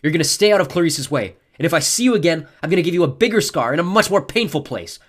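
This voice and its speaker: A man's voice: on the high side, very animated, quick pace, husky, pitched above the performer's own voice. A man voicing a brave, loyal teenage demigod boy in an audiobook adventure story. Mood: threatening.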